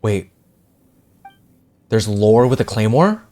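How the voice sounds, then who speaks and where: A young man reacting casually to a game on stream, slangy and excitable, often repeating himself. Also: on the low side, slow pace, a little hiss or hum. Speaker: gaming streamer.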